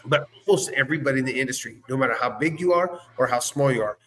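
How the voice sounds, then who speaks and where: A man's voice: medium pitch, fast talker, fairly bright tone. A man in casual conversation, speaking earnestly as a co-host and often repeating and rephrasing himself. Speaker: business owner and guest co-host.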